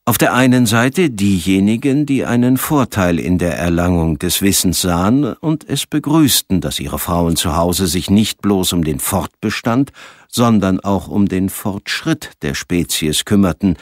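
A man reading a science fiction audiobook as narrator, with a measured storytelling delivery. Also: low voice, animated, no room sound, clean studio sound.

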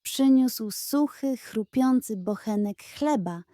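A woman tells a children's bedtime story. Her voice is fairly high yet soft and mellow, and she reads slowly and expressively, very close and dry. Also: animated, no echo. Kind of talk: bedtime storytelling, scripted narration.

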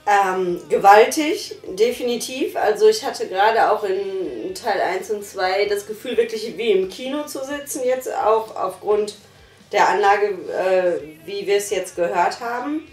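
A woman's voice: mid-range voice, lively intonation, a little slow, darkish tone. A woman reviewing in a casual couch chat, talking with enthusiasm. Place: small living room, on a couch. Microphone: far camera mic. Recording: roomy, distant mic, faint music.

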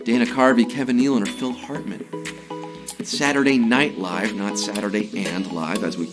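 A man in his thirties hosting a live trivia show from a studio, playful and jokey, a little hoarse from a sore throat. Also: lowish voice, fairly even intonation, over music. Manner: playful, jokey, slips into quick impressions and sung bits. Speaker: trivia game show host. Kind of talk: upbeat live quiz hosting. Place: studio before a green screen.

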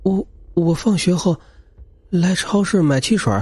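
A man voicing a timid, frightened teenage boy who speaks haltingly. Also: sits high, a little mellow, slightly rough, dry recording. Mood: scared.

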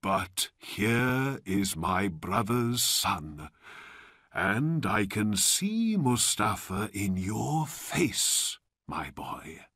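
A man voicing a sly old uncle in a storybook, secretive and theatrically emotional, with slow dramatic delivery. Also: on the low side, sing-song, a bit bright, breathy edge, dry recording, studio quality, full character voice. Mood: fond, coaxing.

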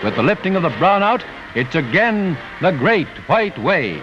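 A man's voice: high voice, big pitch swings, normal speed. An American man reading scripted 1940s newsreel narration in a solemn, declamatory, patriotic manner. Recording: dull vintage newsreel soundtrack with music.

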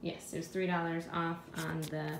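Woman vlogger, thirties, lowish voice, chatty and offhand, recorded by a handheld camera in an echoey kitchen with steady noise. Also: normal speed. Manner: chatty, thinking aloud about prices. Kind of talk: casual grocery haul narration. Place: home kitchen.

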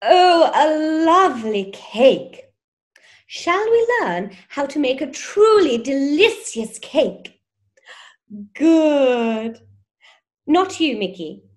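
An actress plays a prim lady teacher on a spoof children's show. Her voice is high and swings widely, with a slow, sing-song delivery. She is gushing and jolly, with condescension and menace underneath. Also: sing-song, exaggerated voice. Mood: gushing, condescending. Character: prim, gushing, sinister children's TV schoolteacher woman. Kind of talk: parody children's show presenting.

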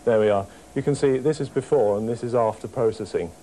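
A man's voice: mid-range voice, fairly quick, mellow. A young man talking through a technical demonstration as an engineer. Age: young adult. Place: small office workroom with computers. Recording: faint room noise, TV report.

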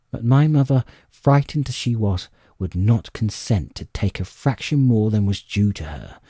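Husky, animated man narrating a story. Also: lowish voice, dry recording, clean studio sound. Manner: expressive, measured storytelling. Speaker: audiobook narrator. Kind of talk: dramatic scripted storybook narration.

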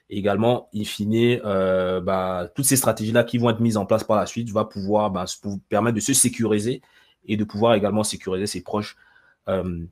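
A man's voice: fairly low pitch, darkish tone. A man in his twenties hosting a conversational live interview over a headset, wordy and often rephrasing himself. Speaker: host, interviewer. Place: indoor room, video call.

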